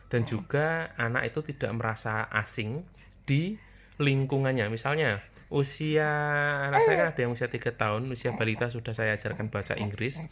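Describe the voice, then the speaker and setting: A man's voice: mid-range voice, expressive, normal speed, a bit bright. A man in his thirties from Java, with a Javanese accent in Indonesian, chatting casually to camera about raising small children, repeating his points and speaking to parents directly. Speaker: parenting channel host.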